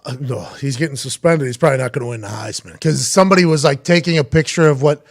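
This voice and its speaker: A man hosting a podcast, riffing in profane, animated casual chat. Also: mid-range voice, fast talker. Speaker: podcast host.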